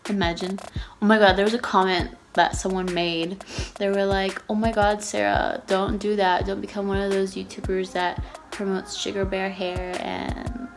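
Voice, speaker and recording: A woman's voice: mid-range voice, flat delivery, normal speed, vocal fry, soft background music. A nineteen-year-old woman from Texas chatting casually in a Q&A vlog, rambling with lots of fillers and restarts. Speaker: YouTuber.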